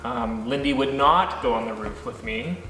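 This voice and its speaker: A man in his thirties, co-hosting a do-it-yourself vlog, walks through each building step in a relaxed, practical way. His voice sits at an ordinary pitch and rings out in a roomy space with a long reverb tail behind it. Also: moderate pace. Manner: easygoing, explains building steps plainly. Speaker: co-host. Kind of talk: casual DIY vlog chat. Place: home studio, indoors, seated beside co-host.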